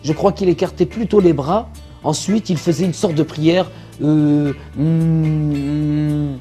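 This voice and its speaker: A man voicing a bumbling, cowardly impostor healer in an animated religious story, blustering and easily flustered. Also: fairly high pitch, slow pace, faint music behind. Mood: puzzled muttering. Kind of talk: French-language animated religious story dub.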